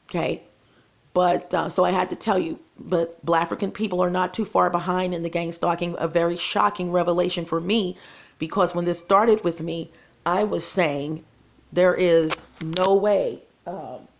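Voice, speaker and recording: A woman's voice: fairly low pitch, creaky. A woman, losing her voice, talking to her viewers in an earnest, repetitive casual vlog monologue. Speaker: channel host.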